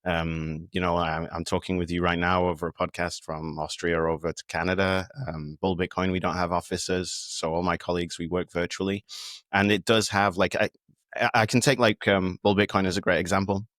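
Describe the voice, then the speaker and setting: A man's voice: deep voice, level tone, a little fast, fairly bright tone, no echo, studio quality. A man from the United Kingdom, a podcast guest, talking in a reflective, casual way with fillers and restarts. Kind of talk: casual conversation.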